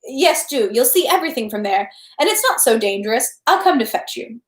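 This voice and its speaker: A woman voicing a brisk, hurried army adjutant in a storybook reading, with a clipped manner. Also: on the high side, very animated. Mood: helpful. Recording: echoey room.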